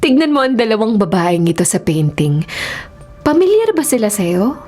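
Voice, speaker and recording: High-voiced vengeful schoolgirl. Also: very animated, normal speed. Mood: coolly sinister. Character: vengeful schoolgirl painter, wounded and eerily menacing. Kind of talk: radio horror drama acting. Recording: loud dramatic music underneath.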